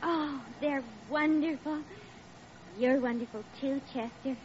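An actress playing a devoted, sweet, patient wife in a 1940s radio comedy. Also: female voice, high voice, fairly even intonation, slow pace, darkish tone, slight background noise. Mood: adoring, romantic. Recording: muffled old radio broadcast.

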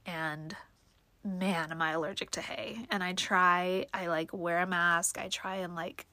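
Young woman vlogger, stuffy nose, slow reflective delivery, dry close sound. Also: lowish voice, bright, forceful tone, dry recording. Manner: slow, reflective, many ums and likes. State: stuffed up from allergies. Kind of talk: casual personal vlog talk.

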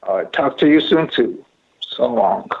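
A man's voice: medium pitch, expressive, unhurried, creaky, husky. A man in his thirties calling in to a podcast, chatting casually in a hesitant, repetitive way. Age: 30s. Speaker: podcast caller. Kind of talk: casual chat. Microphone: phone or voice call. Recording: tinny, narrow phone-like call audio.

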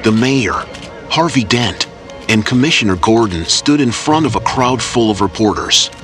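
A man's voice: on the low side, expressive, moderate pace. A man narrating an audio drama from a script in dramatic storytelling style. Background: loud music, action sound effects.

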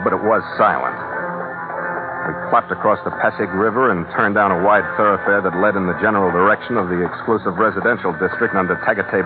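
A tinny old radio recording with loud music carries an actor playing a hardboiled American sea skipper. His voice sits low, and he tells his adventure with wry, clipped, cynical toughness. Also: natural voice. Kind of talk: old-time radio adventure acting and narration.

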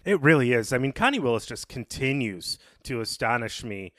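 Thoughtful man with a mid-pitched voice, dry sound. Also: big pitch swings, moderate pace, fairly bright tone, no room sound. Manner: appreciative, thoughtful, analytical. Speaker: podcast co-host. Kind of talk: conversational literary commentary.

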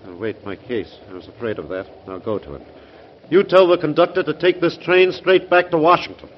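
An actor playing a loyal, concerned physician to a President, earnest and solicitous, in an old radio drama. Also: male voice, a little high, expressive, brisk, lightly acted. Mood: grave, urgent. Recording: dull, hissy old radio recording.

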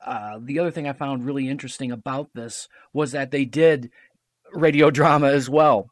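A man talking casually as a podcast guest, explaining history in a halting, stop-and-start way. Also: medium pitch, steady tone, no room sound, clean studio sound.

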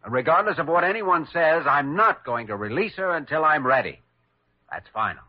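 A man's voice: fairly high pitch, lively intonation, normal speed, loud music behind the voice, natural voice. A man playing an earnest, stubborn young doctor in an old-time radio drama, plainspoken and firm. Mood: determined. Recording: muffled vintage radio broadcast.

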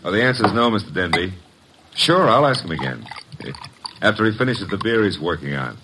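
An actor playing a breezy, wisecracking detective's assistant who narrates a radio drama in a wry, slangy, casual way. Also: male voice, sits low, sing-song, a little fast, sharp tone, slight background noise, exaggerated voice, low-quality recording.

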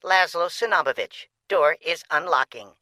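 A man voicing a disembodied female security computer that intones formal announcements in a calmly officious way for a comic audiobook. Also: a little high, normal speed, dry recording, pitched above the performer's own voice. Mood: impassive.

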